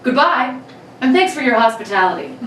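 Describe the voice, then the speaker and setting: A woman as a cocky, high-pitched gentleman burglar. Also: very animated, brisk. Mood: cool, menacing. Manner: gloating, mock-courteous, chuckling old-time crook delivery. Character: cocky, taunting male burglar of about thirty, gleefully polite. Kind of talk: old-time radio play reading. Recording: roomy, distant mic, background noise.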